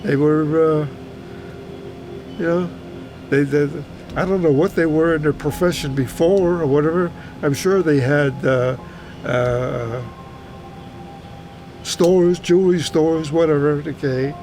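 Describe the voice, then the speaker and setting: A man in his eighties from Hungary, a native German speaker, recalls his life in a halting, repetitive, plain-spoken way, as in an oral history interview. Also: fairly high pitch, darkish tone, a little hiss or hum. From Hungary, German-speaking household. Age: 80s. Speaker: retired teacher recounting his life. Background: music under the voice.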